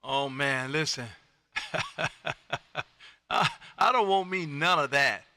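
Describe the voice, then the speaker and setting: A high-pitched, very bright and forceful male voice. He hosts amateur radio contacts in an exuberant, folksy way, and the sound is close and dry. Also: animated, slow, no echo. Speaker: ham radio operator hosting a livestream. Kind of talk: animated on-air radio contact chat. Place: home radio room.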